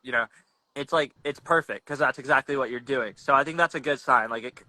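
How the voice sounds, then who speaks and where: Young male podcast host, slangy and easygoing, forceful bright tone, close dry sound. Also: medium pitch, fairly quick, dry recording, low-quality recording. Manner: laid-back, slangy, rambling with restarts. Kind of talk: casual chat.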